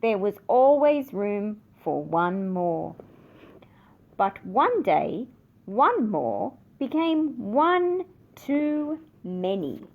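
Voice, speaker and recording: A woman reading a children's picture book aloud with expressive, dramatic emphasis. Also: medium pitch, drawn-out words, narrow, tinny audio, slight background noise. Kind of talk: scripted children's story narration.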